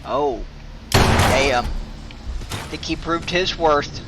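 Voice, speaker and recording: Slow-talking, higher-pitched male gamer. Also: over loud music. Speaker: gaming video commentator. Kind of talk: casual gameplay commentary.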